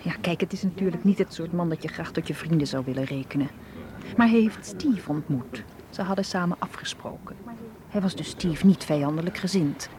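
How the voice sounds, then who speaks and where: An actress in a radio play playing a stubborn, determined young woman with a brisk, defiant manner. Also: on the low side, close to an everyday voice. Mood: insistent. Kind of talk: radio drama acting. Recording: radio play with crowd murmur behind.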